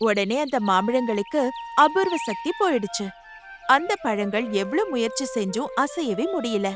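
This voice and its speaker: High, expressive woman telling a children's story. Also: moderate pace, over music. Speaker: narrator. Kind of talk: scripted children's story narration.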